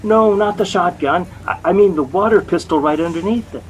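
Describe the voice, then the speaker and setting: An actor with an American accent playing a jealous, bumbling young husband, sulky, boastful and sheepishly stammering, in a 1940s radio comedy. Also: male voice, sits high, a little fast, natural voice. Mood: sheepish, evasive. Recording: noisy old-time radio recording.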